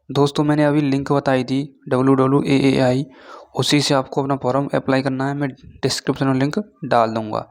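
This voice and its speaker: Man teaching in a flat, even tone. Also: medium pitch. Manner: repeatedly checks in with short tag words; switches between Hindi and halting read-out English. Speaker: online class teacher. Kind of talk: informal explainer lesson reading through a job notification.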